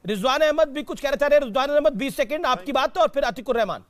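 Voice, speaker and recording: A man's voice: extremely high pitch, fast. A man moderating a TV debate combatively, firing insistent, repeated questions. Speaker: TV debate host.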